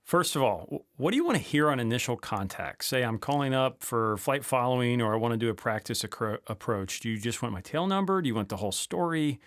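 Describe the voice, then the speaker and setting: A man's voice: mid-range voice, animated, fast, hardly any pauses, dry recording. An American man interviewing a guest conversationally as a podcast host.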